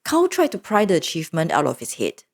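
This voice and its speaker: Brief: woman narrator, mid pitch, lively and expressive. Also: fast talker, vocal fry, slightly rough. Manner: expressive, animated storytelling. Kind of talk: storybook recap narration.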